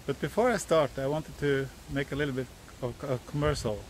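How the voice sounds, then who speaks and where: A man in his forties from Norway, speaking English with a Norwegian accent, explaining a hobby project in a halting, self-correcting way. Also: medium pitch, background noise. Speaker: hobbyist YouTuber. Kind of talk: casual DIY explainer. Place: outdoors on a hilltop, then indoors at a workbench.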